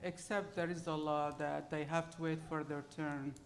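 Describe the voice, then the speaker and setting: A woman's voice: low-pitched, little pitch movement, normal speed, background noise. A middle-aged woman with an Arabic accent telling personal stories to an audience as a panel speaker. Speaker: panel speaker telling her immigrant story.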